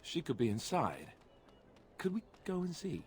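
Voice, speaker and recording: An actor playing an awkward, earnest, boyish young warrior in a video game, hesitant and prone to nervous babbling. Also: male voice, fairly high pitch, huge pitch swings, moderate pace, a little mellow, slight background noise.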